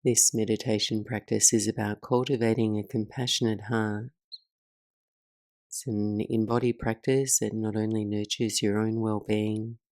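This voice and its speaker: A woman leading a guided meditation as the teacher, speaking in a soothing, measured way with soft pauses. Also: rumbling low, no echo.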